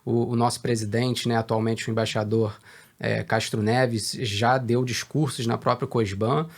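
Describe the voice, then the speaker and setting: A Brazilian man, a research director, answering questions in a business interview in a measured, hesitant way with many fillers. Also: medium pitch, fairly even intonation.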